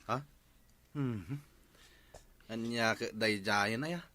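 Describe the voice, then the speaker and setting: A man's voice: on the low side, slow pace, pushed and bright. A man playing a bewildered, incredulous village husband in an Ilocano radio comedy drama. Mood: baffled. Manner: incredulous, questioning. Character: bewildered village husband questioning big rumors.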